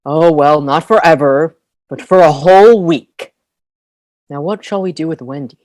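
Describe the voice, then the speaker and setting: A woman's voice: low voice, unhurried, darkish tone, no echo. A woman playing a proud, commanding boy leader in an audio play. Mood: bossy, eager.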